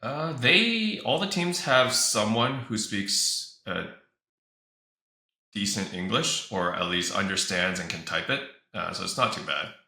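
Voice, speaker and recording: A man's voice: on the low side, expressive, measured pace, gravelly, pushed and bright. A man giving casual interview answers as an esports organizer, recorded in a room with some echo. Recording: roomy echo.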